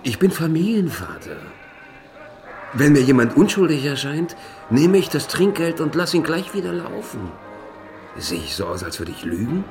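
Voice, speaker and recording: An actor playing a corrupt, self-pitying official who muses and wheedles in a German radio drama. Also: male voice, fairly high pitch. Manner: musing, slow, wheedling.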